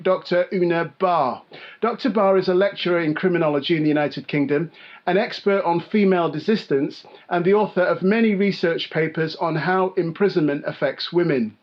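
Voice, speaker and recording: A man hosting a podcast interview, reading a formal introduction and asking measured questions. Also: sits high. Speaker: podcast host. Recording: dull lo-fi audio.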